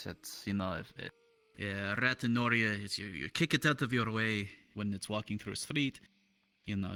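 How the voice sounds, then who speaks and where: Halting, bright-voiced man in roleplay. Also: mid-range voice, no room sound. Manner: halting, reflective, with dropped articles. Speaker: roleplayer in an online game. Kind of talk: improvised in-character roleplay dialogue.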